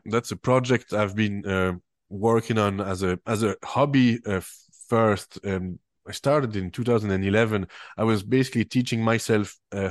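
Musician guest, male, French Swiss accent, lowish voice, dry close sound, halting and full of "uh"s. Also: dry recording. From French-speaking Switzerland. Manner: hesitant, many fillers and restarts. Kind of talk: relaxed interview chat.

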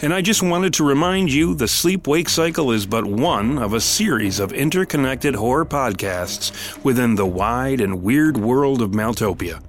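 Male podcast host, scripted promo read, animated pitch, music bed. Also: moderate pace.

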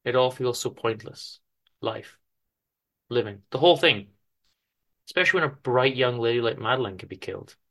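A man giving a theatrical, emphatic dramatic reading of a story, with deliberate pauses. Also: mid-range voice, vocal fry, fairly bright tone. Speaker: host reading his show's story.

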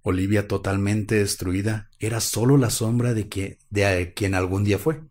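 A man's voice: on the low side, steady tone, normal speed, a little mellow. A man co-hosting a live stream, cracking wry jokes and narrating a true-crime case. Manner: wry, jokey asides, slightly dramatic in the telling. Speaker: podcast co-host.

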